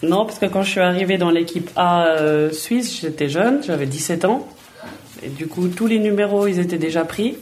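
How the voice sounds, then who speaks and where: A woman's voice: fairly low pitch, moderate pace, a little mellow, a little hiss or hum. A woman, a footballer answering children's questions plainly and in a friendly way, often repeating words as she thinks. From Switzerland. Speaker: footballer guest.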